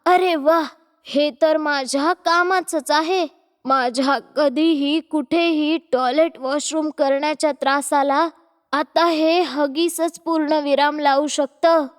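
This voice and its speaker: A woman's voice: high voice, fairly even intonation, slow, long reverb tail. A woman doing a bossy nagging mother-in-law. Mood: pleased. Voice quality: sharp, nagging. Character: bossy scolding middle-aged mother-in-law.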